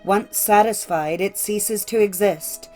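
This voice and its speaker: Female narrator for classic fairy tales: medium pitch, formal scripted storytelling over music. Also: takes it slowly, darkish tone, poor audio. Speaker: audiobook narrator.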